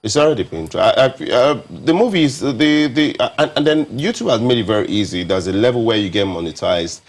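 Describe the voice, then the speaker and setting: A man's voice: medium pitch, fairly quick. A man, a comedian guest on a TV talk show, chiming in often with agreement and laughter. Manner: lively, chimes in with agreement and laughter. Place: TV studio.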